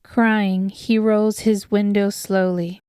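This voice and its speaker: Slow, smooth woman narrating dark fiction. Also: mid-range voice, flat delivery, no echo, clean studio sound. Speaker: audiobook narrator. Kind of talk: scripted dramatic story narration.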